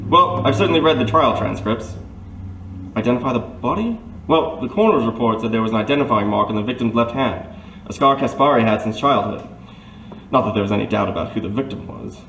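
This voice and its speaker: Echoey, formal gentlemanly Major, acted by a man. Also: medium pitch, fairly quick, lightly acted. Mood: businesslike, curious. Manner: formal, polite, old-fashioned turns of phrase. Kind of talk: audio drama acting in a 1930s detective serial. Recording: echoey, distant microphone, faint noise.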